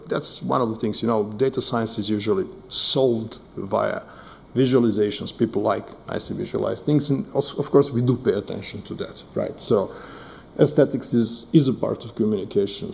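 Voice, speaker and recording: A man's voice: medium pitch, vocal fry, warm tone. A man whose first language is Serbo-Croatian, giving a technical presentation with many fillers and frequent "right?" checks. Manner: hesitant, many fillers, asks "right?" often.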